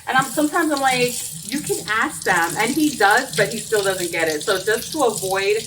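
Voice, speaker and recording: A woman's voice: on the high side, moderate pace, a bit bright, far from the mic, a little hiss or hum, rough recording. A New York woman, born in Jamaica, talks her way through cooking in her home kitchen. She chats loosely, wanders off the point and laughs easily. Her voice sits a little back from the mic, with a faucet running now and then. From born in Jamaica, raised in Brooklyn, New York. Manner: rambling and chatty, laughs often, talks while chopping. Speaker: cooking vlogger. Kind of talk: casual chat while cooking.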